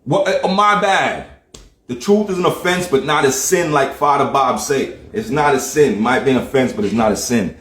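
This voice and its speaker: A man's voice: mid-range voice, moderate pace, a bit bright. Man, livestream rant, heated and profane, preachy, rhetorical questions, roomy echo. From Jamaica. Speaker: livestream host. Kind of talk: ranting monologue.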